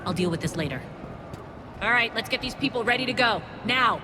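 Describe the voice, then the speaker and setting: A woman's voice: on the high side, animated, brisk, noisy background, close to an everyday voice. A woman playing a principled, protective alien militia leader in a video game, firm, terse and wary. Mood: urgent commands. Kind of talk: cinematic video game acting.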